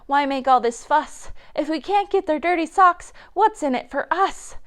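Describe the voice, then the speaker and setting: A woman's voice: high voice, normal speed, voice pushed up, lightly acted. A woman voicing a fretful, easily discouraged male pirate crewman in a storybook, in a complaining manner. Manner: defeatist, complaining.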